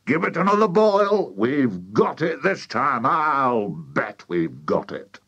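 A man voicing an excitable, blustering, impatient father in a children's story, sputtering with excitement. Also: medium pitch, moderate pace, slightly rough, dull audio, voice pushed up. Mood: triumphant, excited.

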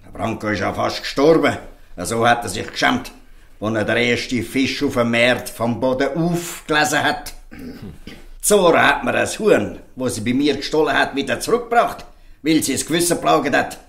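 A man's voice: mid-range voice, moderate pace, vocal fry, fairly bright tone. An actor playing an earnest, defiant fisherman in a Swiss German audio drama, speaking emphatically and pleadingly. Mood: indignant, pleading. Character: earnest, defiant fisherman defending outcast children. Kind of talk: audio drama dialogue in dialect.

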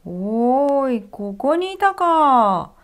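A woman voicing a gruff, jolly father thunder spirit in a storybook reading, speaking in a hearty, friendly way. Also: high voice, big pitch swings, drawn-out words, heavily acted. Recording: faint music behind.

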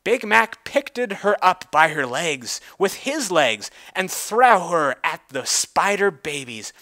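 Bright-voiced man, theatrical narrator. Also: high-pitched, very animated, slow pace. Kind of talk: mock-dramatic story reading.

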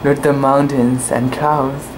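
A man's voice: mid-range voice, flat delivery, slow pace, background noise. A young man reading a children's book aloud dramatically with little asides, outdoors, into a close camera. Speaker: storybook reader.

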